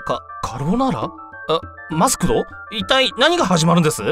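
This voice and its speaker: A man plays a timid teenage newcomer in an audio drama. He sounds bewildered and hesitant, stammering with wide swings of pitch over background music. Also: very animated, brisk. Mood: baffled. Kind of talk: amateur voice drama acting. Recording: music under the voice.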